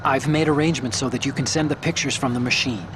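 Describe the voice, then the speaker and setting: An actor playing an eager male hacker who explains things quickly over a radio link. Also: mid-range voice, noisy background, close to an everyday voice. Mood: explaining. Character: chatty male tech support hacker.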